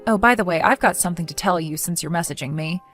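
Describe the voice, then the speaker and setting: A woman playing a level-headed, quietly fed-up young woman with a dry, matter-of-fact manner in a dramatized story. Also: fairly low pitch, fast, soft background music, lightly acted. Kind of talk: dramatized text-message story voice acting.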